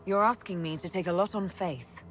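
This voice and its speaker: An actress playing a guarded, level-headed heroine in a video game, direct and questioning in manner. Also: female voice, lowish voice, moderate pace, natural voice. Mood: skeptical. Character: composed, guarded heroine leading an inquisition. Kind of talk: video game character acting. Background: music.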